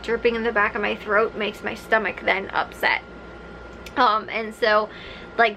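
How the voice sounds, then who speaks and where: Brief: woman, 20s, daily vlogger, chatty and meandering, lots of fillers, bright tone, bedroom setting. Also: fairly high pitch, slight background noise, low-quality recording. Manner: rambling, chatty, many fillers like "like" and "um". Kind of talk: casual chat to camera. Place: bedroom, indoors.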